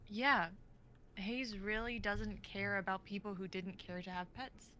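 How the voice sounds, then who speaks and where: A woman's voice: mid-range voice, fairly even intonation, measured pace, bright, forceful tone. A woman in her twenties playing a blithe, matter-of-fact former pet dog in human form, on home video-call audio. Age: 20s. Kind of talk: amateur dramatic script reading.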